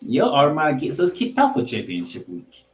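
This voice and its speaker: A man's voice: on the high side, wildly swooping pitch, fairly quick. Roomy-sounding man hosting sports talk. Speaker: sports podcast co-host. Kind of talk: sports talk, game preview banter.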